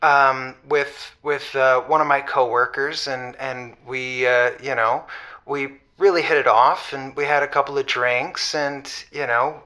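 A man playing an eager, nervous, smitten office worker phoning a radio show in an audio drama, halting and gushing. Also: medium pitch, fairly even intonation, bright, forceful tone. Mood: worried, sheepish. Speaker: caller. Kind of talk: semi-improvised audio drama acting.

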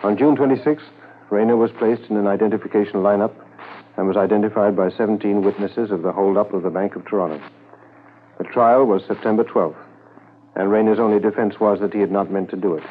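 An actor narrating a 1950s radio drama as a sober, reflective Mountie, measured and formal. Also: male voice, on the low side, phone-quality sound, slight background noise, close to an everyday voice. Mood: grave, factual.

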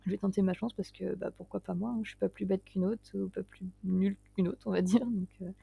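Gravelly, husky woman in her twenties. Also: mid-range voice, steady tone, a little fast, muffled recording. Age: 20s. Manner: hesitant, self-deprecating, laughs often. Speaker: guest writer. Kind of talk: casual interview conversation.

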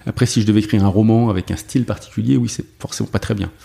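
A man whose first language is French, a software developer guest in a casual interview, talking with many fillers and restarts. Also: lowish voice, steady tone, rapid-fire, creaky.

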